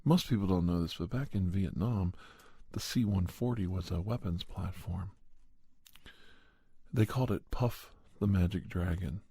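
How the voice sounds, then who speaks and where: A man plays a calm doctor telling an ASMR monster tale in a low, mellow voice. He speaks very slowly and deliberately, with halting, measured phrases. The sound is dry and intimate. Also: gravelly, husky, dry recording, exaggerated voice. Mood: measured, reflective. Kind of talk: slow ASMR horror storytelling.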